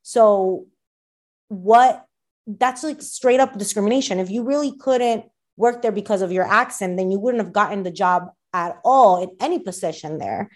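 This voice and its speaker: A woman's voice: mid-range voice, moderate pace. A woman co-hosting a podcast who talks in an emphatic, conversational way. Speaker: podcast co-host. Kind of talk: casual chat.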